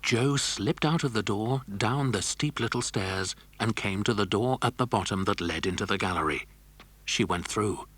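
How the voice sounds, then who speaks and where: Low, husky male storyteller. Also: normal speed, a bit bright, no echo. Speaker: narrator. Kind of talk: scripted children's story narration.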